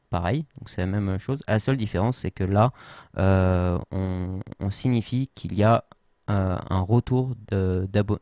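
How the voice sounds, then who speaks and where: A man's voice: on the low side, darkish tone, dry recording. A man explaining a social media tool in an unscripted tutorial, full of fillers and restarts. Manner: hesitant, many fillers and restarts. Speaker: tutorial presenter.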